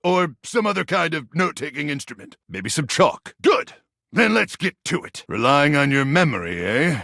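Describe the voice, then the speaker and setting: A frazzled, gung-ho soldier microwave from a video game. The voice is raspy and high for a man, swinging wildly in pitch. It blusters out military talk with awkward, paranoid intensity. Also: big pitch swings, moderate pace, bright, forceful tone, dry recording, clean studio sound, exaggerated voice. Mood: blustering, intense. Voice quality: gravelly, raspy. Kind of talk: animated video game voice acting.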